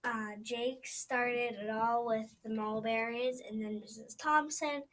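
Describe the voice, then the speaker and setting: A woman's voice: a little high, steady tone, slow, fairly bright tone. A female listener giving short, simple answers during a story read-aloud.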